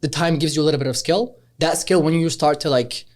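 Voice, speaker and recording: A man in his twenties, originally from Algeria, talking casually as a startup co-founder in an interview, relaxed and rambling with many fillers. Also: a little high, quick pace. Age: 20s.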